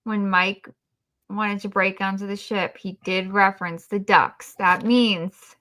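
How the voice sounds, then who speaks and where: A woman co-hosting a casual review podcast in a deadpan, dryly sarcastic manner. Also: medium pitch, slow. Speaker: podcast co-host.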